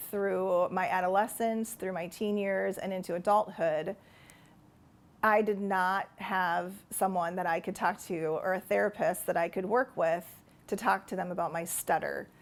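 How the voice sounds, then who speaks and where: Heartfelt woman with a creaky voice. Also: mid-range voice, fairly even intonation, measured pace. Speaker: person who stutters. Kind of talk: testimonial interview.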